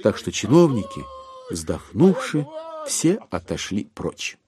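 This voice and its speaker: A man narrating a literary audio drama, with expressive, ironic and deliberate phrasing. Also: medium pitch, crowd noise.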